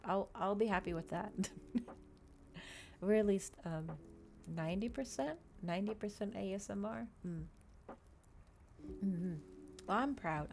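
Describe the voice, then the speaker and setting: A woman's voice: medium pitch, creaky, darkish tone, slight background noise. A woman streamer doing an ASMR stream, reading a book and chatting casually to listeners. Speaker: VTuber streamer, reader.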